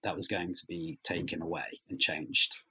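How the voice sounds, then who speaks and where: A man's voice: low voice, fairly even intonation, sharp tone, slightly rough, rough recording. A man answering interview questions as a writer, thoughtful and hesitant, often rephrasing as he goes. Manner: hesitant, halting phrasing with many trailing rephrasings.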